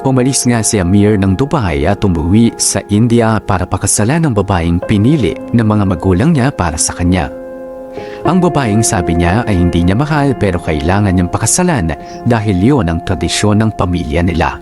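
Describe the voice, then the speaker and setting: A man's voice: fairly low pitch, lively intonation, moderate pace. A man reading a scripted first-person story as narrator of a radio drama. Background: music.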